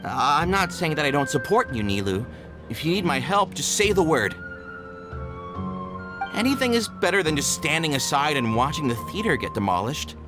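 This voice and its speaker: A man's voice: on the high side, sing-song, normal speed. An actor playing a practical, doubtful but loyal man in a game dub. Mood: doubtful, supportive. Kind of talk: English game dub acting. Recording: music behind the voice.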